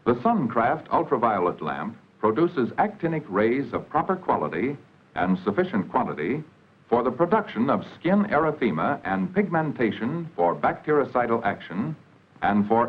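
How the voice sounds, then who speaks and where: A man's voice: mid-range voice, very animated, normal speed, breathy edge. An American man delivering formal, scripted 1940s educational documentary narration on an old film soundtrack. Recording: dull, band-limited 1940s film soundtrack.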